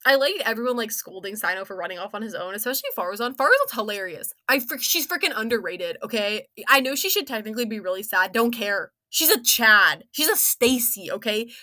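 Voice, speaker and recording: Young female game reviewer, bright forceful tone, playful and slangy, loose rambling commentary. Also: fairly high pitch, lively intonation, a little fast, few pauses, dry recording. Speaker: video game story reviewer.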